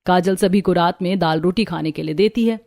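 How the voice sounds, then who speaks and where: A woman's voice: fairly low pitch, fairly quick, gravelly, a little mellow. A woman reading scripted narration for a cartoon family story.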